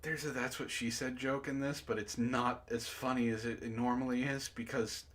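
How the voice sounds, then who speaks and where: A man's voice: medium pitch, flat delivery, moderate pace, fairly bright tone. A man hosting a read-aloud, narrating casually and breaking off for sardonic, profane asides. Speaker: book club host reading a story aloud with commentary.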